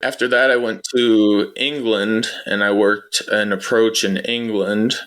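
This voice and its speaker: Low-pitched man giving casual interview answers. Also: fairly even intonation, unhurried, a bit bright, low-quality recording. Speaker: interview guest, former air traffic controller.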